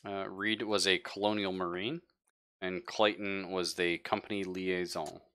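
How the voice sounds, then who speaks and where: A man's voice: low-pitched, flat delivery, a little slow, sharp tone, clean tone. A man running a tabletop game as game master, chatting casually with the players.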